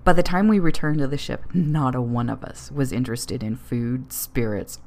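A woman's voice: a bass voice, moderate pace, a little mellow. A woman reading a scripted first-person tale as a storyteller.

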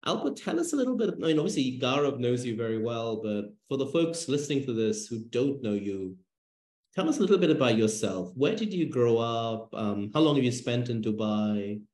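A man's voice: mid-range voice, sing-song, brisk, darkish tone. A man hosting a podcast, interviewing in a casual conversational style. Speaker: podcast host. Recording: roomy, echoing sound.

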